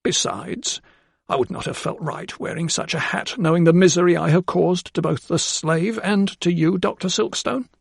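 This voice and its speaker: A man's voice: high-pitched, moderate pace, creaky, breathy edge, no echo, clean studio sound, voice pushed up, heavily acted. A man voicing a remorseful woman housekeeper who speaks in a quavering, pleading voice. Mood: tearful pleading.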